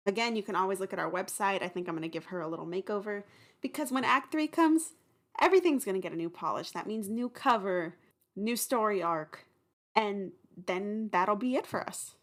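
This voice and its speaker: A woman's voice: medium pitch, fairly quick. A woman, the show's creator, speaking directly and casually to listeners about a break. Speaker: podcast creator. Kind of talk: casual announcement.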